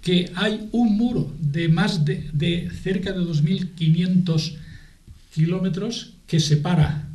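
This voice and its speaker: Higher-voiced Spanish man, mellow, echoey room. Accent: Spanish from Spain. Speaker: guest, aid association spokesman. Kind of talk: explanatory talk in a TV debate.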